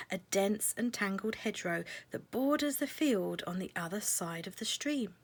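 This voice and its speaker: Woman gently reading a children's storybook. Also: mid-range voice, husky. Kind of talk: scripted children's storybook narration.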